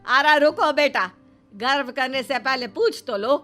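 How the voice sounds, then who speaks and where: Casting: sarcastic, worried older saas for a story cartoon; high-pitched, emphatic, sharp-edged. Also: female voice, measured pace. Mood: disapproving. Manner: exasperated, emphatic. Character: scolding, sceptical older mother-in-law, sarcastic and worried. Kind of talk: Hindi moral-story cartoon dubbing.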